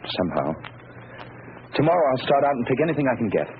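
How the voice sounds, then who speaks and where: A man acts out an honest, desperate family man looking for work. His earnest, pleading voice swings widely in pitch, in 1930s radio style, through a thin, noisy old broadcast. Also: sing-song, fast, phone-quality sound, natural voice. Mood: discouraged, determined.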